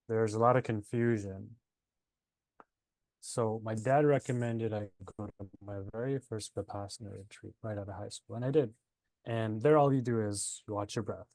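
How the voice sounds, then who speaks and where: A man's voice: lowish voice, a little mellow, no room sound, low-quality recording. A man in his twenties from Nepal and Canada, telling his personal story in a conversational interview. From born in Nepal, moved to Canada at seven. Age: 20s. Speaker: interview guest, breathwork teacher.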